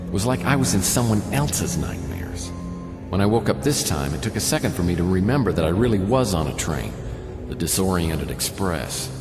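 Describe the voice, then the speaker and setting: Hardboiled detective, deep voice, deadpan, sardonic, world-weary, noir radio drama, roomy echo. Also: male voice, moderate pace. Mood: wry, weary. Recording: roomy long reverb under loud music.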